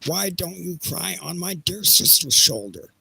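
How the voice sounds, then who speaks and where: A man playing a pompous, irritable railroad company president with a raspy, gravelly voice and a haughty, scolding manner in an amateur audio play. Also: high-pitched, big pitch swings, unhurried, sharp tone, dry recording, exaggerated voice. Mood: haughty, irritated.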